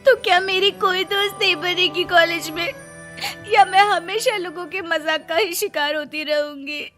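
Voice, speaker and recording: Casting: tearful teenage college girl in a cartoon, very high, slow wail, music underneath. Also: sharp tone, exaggerated voice. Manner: tearful, wailing, drawn-out. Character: distressed college girl, whining to her mother. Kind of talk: animated moral-story voice acting.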